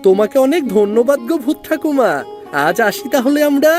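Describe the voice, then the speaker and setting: A woman's voice: high-pitched, lively intonation, brisk, darkish tone, faint music behind. A woman voicing a polite, earnest young boy, respectful and full of wonder, in a children's cartoon.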